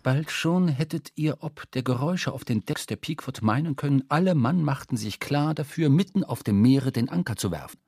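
A man narrating a literary sea tale for a radio drama, measured, with dramatic emphasis. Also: mid-range voice, a little mellow, no room sound, clean studio sound.

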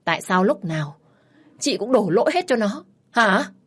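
A woman's voice: medium pitch, big pitch swings, moderate pace, fairly bright tone, husky, voice pushed up. A woman voicing a fiery, protective mother in an audio story, indignant and scolding. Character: fiery, protective mother of a scorned young widow.

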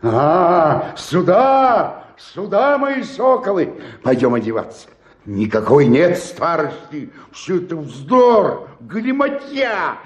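An actor playing a drunken old provincial stage actor in a radio drama, declaiming grandly and swinging between rapture and despair. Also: male voice, a little high, sing-song, takes it slowly. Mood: exhilarated. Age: older. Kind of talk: theatrical radio drama acting with declaimed verse.

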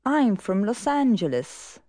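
Female actor as a flirty secretary, slow, slightly husky, animated pitch. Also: dry recording. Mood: playful. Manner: slow, clear textbook delivery. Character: flirtatious secretary from Los Angeles. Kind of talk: scripted language course dialogue.

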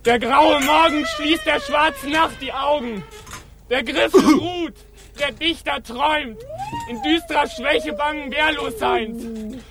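A pompous captive poet declaims in a very high voice. The delivery is slow, lofty and florid, in theatrical radio play style. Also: male voice, sing-song, exaggerated voice. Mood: pompous, declaiming.

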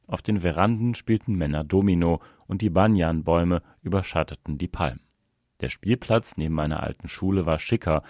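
A man with a very low voice narrates a documentary essay in a calm, measured, even reading. The recording is dry and close, with no room sound around him. His delivery stays scripted and steady. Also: no room sound.

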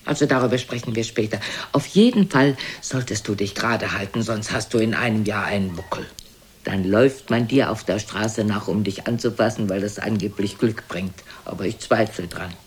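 An older woman playing a frail, sharp-tongued, reproachful old mother in a German radio play, scolding theatrically and dropping into whispers. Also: rumbling low, moderate pace, husky. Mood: needling. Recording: noisy older radio recording.

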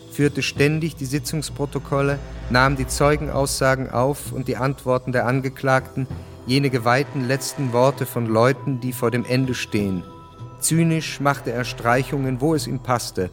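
A man narrates a radio play from a script. His voice is mid-pitched, measured and precise, with music clearly playing beneath it. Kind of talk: scripted radio drama narration in present tense. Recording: music behind the voice.

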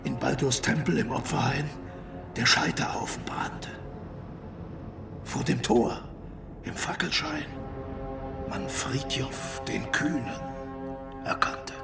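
A dramatic legend teller, slow and gravelly, declaiming over music, radio play style. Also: male voice, mid-range voice. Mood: solemn, eerie. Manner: declamatory, theatrical. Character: male storyteller solemnly declaiming an old Nordic legend.

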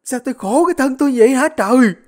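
A woman's voice: a little high, expressive, brisk. A woman voicing a cruel elderly mother-in-law who hurls screeching insults in an audio story. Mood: wailing. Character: shrill cruel old mother-in-law, scolding.

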